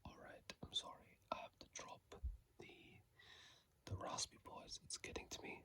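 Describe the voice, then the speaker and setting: An ASMR gaming host putting on a raspy, gravelly low voice for a hushed, drawn-out reading of game lore and tips into a phone microphone. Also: close to an everyday voice. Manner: slow, hushed, drawn-out delivery with throat clearing.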